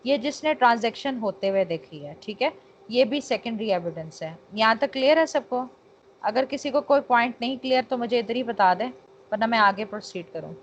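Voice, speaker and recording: A woman's voice: fairly high pitch, expressive, brisk. A woman with a Pakistani accent teaching law in an online lecture, explaining with English terms mixed in and checking that students follow. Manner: checks understanding, frequent tag phrases. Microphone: online call. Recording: dull, noisy online call audio.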